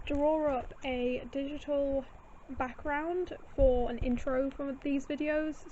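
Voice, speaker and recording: A young woman, a bit hoarse and tired, chatting in a rambling, self-deprecating way about running her small art shop. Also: sits high, a little mellow, dull audio, slight background noise. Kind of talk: casual studio vlog chat.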